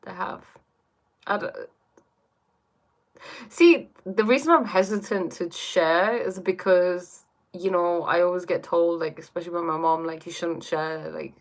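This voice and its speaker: A woman's voice: on the low side, unhurried. Hesitant young woman livestream host. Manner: hesitant, lots of fillers and "like", reads comments aloud. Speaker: livestream host answering viewer questions. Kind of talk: casual livestream Q&A on spirituality.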